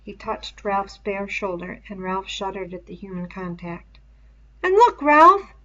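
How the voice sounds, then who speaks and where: A woman's voice: mid-range voice, little pitch movement, unhurried, muffled recording. A woman reading a story aloud expressively, with explanatory asides. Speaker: reader.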